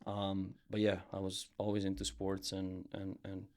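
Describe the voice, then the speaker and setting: A young man from Serbia, a podcast guest and brand founder, chatting casually in a relaxed, rambling way with lots of fillers and restarts. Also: deep voice, measured pace. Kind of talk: casual interview chat.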